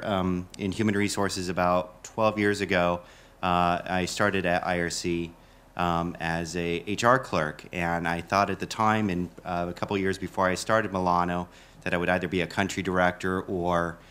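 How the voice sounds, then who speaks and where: A very low-pitched man speaks on an alumni panel in a university event room. His delivery is flat and even. He talks about his work in a halting way, full of fillers and self-corrections. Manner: halting, many fillers and restarts. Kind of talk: panel introduction.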